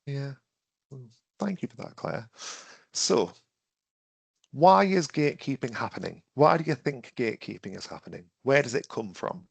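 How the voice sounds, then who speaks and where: A man with a cough leads a webinar in a gravelly voice, speaking close to a dry-sounding computer mic. He talks in a conversational way, restarting phrases and asking his listeners questions as he thinks aloud. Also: mid-range voice, expressive, moderate pace, pauses often, dry recording. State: has a cough and is losing his voice. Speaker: webinar trainer. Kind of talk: interactive online training talk.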